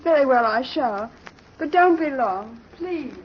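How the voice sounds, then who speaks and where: A woman's voice: high-pitched, expressive, unhurried. An actress playing a sweet, tender, girlish young daughter and bride in a 1930s radio drama. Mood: affectionate, coaxing. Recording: muffled, noisy old radio recording.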